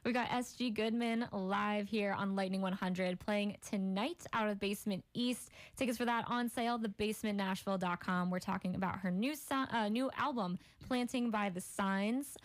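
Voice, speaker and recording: A woman's voice: medium pitch, moderate pace, few pauses. A woman hosting a radio interview, chatting casually, laughing often and giving short replies. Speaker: radio host. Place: radio studio.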